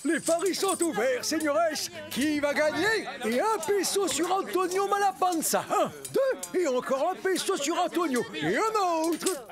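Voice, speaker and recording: An eager cartoon bookmaker with an extremely high voice. He calls out like a street barker, with a crowd clearly audible behind him. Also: expressive, a little slow, hardly any pauses. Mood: eager, businesslike. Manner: barker-like calling out. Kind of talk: animated cartoon dubbing.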